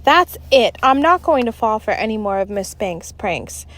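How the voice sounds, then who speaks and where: A woman reading a children's book aloud in an expressive, emphatic storytelling style. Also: fairly high pitch, moderate pace. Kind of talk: read-aloud story narration.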